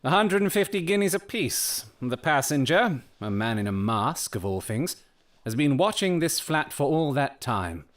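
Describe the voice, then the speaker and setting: An actor playing a brilliant, eccentric Victorian detective in an audio drama, speaking with precise, clipped self-assurance. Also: male voice, fairly high pitch, big pitch swings, moderate pace. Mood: coolly analytical.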